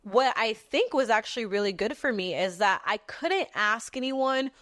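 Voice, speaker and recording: A woman in her twenties from Texas hosting a podcast, talking in an animated, casual monologue that moves between a squeaky voice and a lower one, with frequent casual swearing. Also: normal speed, no echo, clean studio sound. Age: 20s. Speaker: podcast host.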